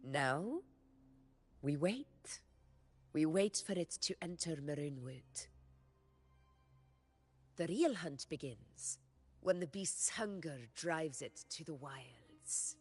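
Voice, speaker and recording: Slow, commanding fantasy warrior leader. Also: female voice, low voice, expressive, a little mellow, slightly rough, soft background music, heavily acted. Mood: grave, determined. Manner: solemn, measured, authoritative. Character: composed, commanding female warrior leader briefing a hunt. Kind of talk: scripted fantasy game dialogue.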